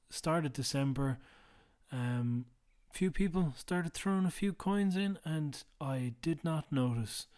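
A tired man hosting a podcast late at night, speaking low and quiet in a rambling, self-deprecating way. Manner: rambling, self-deprecating, drops to a mutter at times. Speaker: podcast host. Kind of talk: casual solo housekeeping chat.

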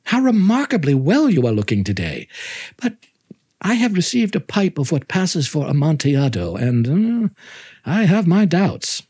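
A man voicing a sly, vengeful nobleman who feigns effusive warmth and coaxing concern in a dramatic gothic reading. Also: a little high, sing-song, moderate pace, breathy edge, pitched above the performer's own voice, full character voice. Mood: effusively flattering. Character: sly, falsely solicitous vengeful nobleman, flattering and smiling.

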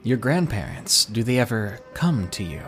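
Hushed, solemn Japanese man in a storytelling podcast, voiced by the male narrator: low-pitched and quiet. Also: sing-song, moderate pace, soft background music.

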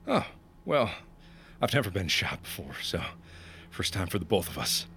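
A man's voice: sits low, big pitch swings, normal speed, gravelly, a bit bright, slight background noise, full character voice. A man playing a weakened, wounded character in an audio drama, hesitant and wry. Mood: uneasy. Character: wounded man joking weakly after being shot.

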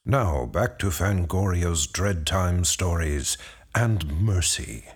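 A man's voice: sits low, animated, slow, husky. A man reading scripted announcements as the announcer of a horror audio series.

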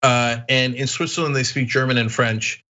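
A man raised in Turkey, hosting a casual podcast and telling stories in an animated way, laughing often. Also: mid-range voice, normal speed, highs cut off. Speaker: podcast host. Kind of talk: casual chat.

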